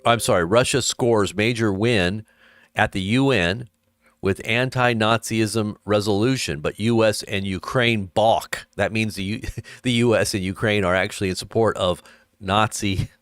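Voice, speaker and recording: Low, smooth-voiced man doing dry commentary. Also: steady tone, a little slow, a bit bright, no room sound. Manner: reads headlines aloud, then adds wry asides and chuckles. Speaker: host of an online ministry live stream. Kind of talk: casual live-stream commentary reading news headlines.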